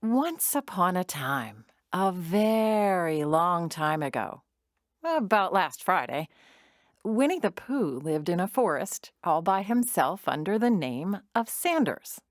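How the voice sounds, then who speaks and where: A woman narrating a scripted children's story in an expressive, measured storytelling manner. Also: fairly low pitch, dry recording, studio quality.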